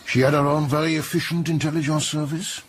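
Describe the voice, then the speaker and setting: An actor playing a discreet, courteous French police detective, speaking formally and deliberately with a French accent in a radio drama. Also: male voice, on the high side, flat delivery, slight background noise, close to an everyday voice. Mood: discreet, grave.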